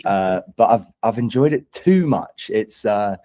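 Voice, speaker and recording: A young man from London speaks in a low, very mellow voice with a London English accent. He talks casually and enthusiastically, rambling through his thoughts as a musician being interviewed. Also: expressive, unhurried.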